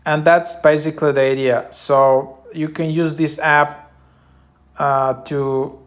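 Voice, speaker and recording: A man with a slightly high voice presents an app in a very flat, even tone. His delivery is casual and halting, like an unscripted tech vlog walkthrough. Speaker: app review vlogger. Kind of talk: casual app walkthrough, partly reading descriptions aloud.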